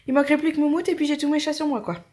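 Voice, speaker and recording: A woman in her late twenties with a French accent, chatting casually to camera in a family vlog, rambling about her day. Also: high-pitched. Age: 20s. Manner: chatty, rambling, talks softly to her cats. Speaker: family vlogger.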